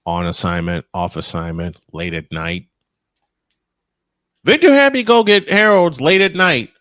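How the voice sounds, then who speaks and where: A man's voice: mid-range voice, takes it slowly, pauses often, fairly bright tone, dry recording. American man calling baseball, close dry sound. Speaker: play-by-play commentator. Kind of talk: live baseball commentary mixed with casual banter.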